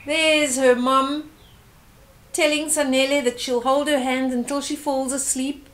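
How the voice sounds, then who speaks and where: An older woman reading a bedtime story aloud to young children, gently and with asides and questions to the listener. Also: high-pitched, level tone, a little slow.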